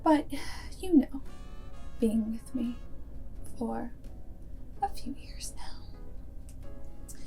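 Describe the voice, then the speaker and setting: Very slow, soft-spoken vampire girlfriend, acted by a woman. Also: on the high side, animated, soft background music, heavily acted. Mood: guarded, tender. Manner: unhurried, deliberate phrasing with breathy whispered stretches. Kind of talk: ASMR roleplay, half-whispered.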